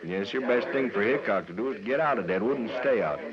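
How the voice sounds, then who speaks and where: Man playing a sly, animated Western saloon tough. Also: lowish voice, quick pace, crowd noise, natural voice. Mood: scornful, threatening. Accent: American Western. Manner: folksy, insinuating drawl. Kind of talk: 1950s radio western acting. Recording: muffled radio recording with music.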